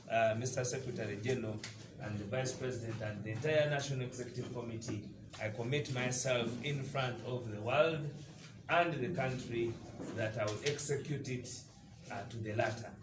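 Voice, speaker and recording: A man's voice: medium pitch, recorded from a distance. A man from eastern Uganda with a Ugandan accent, a party deputy spokesperson giving an emphatic, combative press conference statement with frequent hesitations. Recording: echoey room picked up from afar, noisy.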